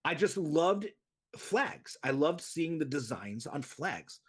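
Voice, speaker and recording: A man's voice: on the high side, sing-song, takes it slowly, breathy edge. A man in his thirties, born in the United States, chatting as a podcast guest in a rambling way full of fillers and restarts. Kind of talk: casual interview chat.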